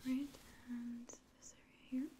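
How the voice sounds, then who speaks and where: A woman in her twenties doing a whispered ASMR roleplay in a soft, breathy, gentle and reassuring voice, close to the mic. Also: high voice, little pitch movement, normal speed, slight background noise. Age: 20s. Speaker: ASMR performer playing an alien medical examiner. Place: small indoor room.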